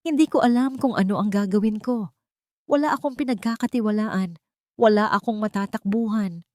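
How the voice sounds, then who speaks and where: A woman's voice: medium pitch, moderate pace, a little mellow, no echo, clean studio sound, voice pushed up, close to an everyday voice. A woman voicing a frightened, wounded young woman confiding her troubles in anxious, pleading audiobook dialogue. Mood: despairing.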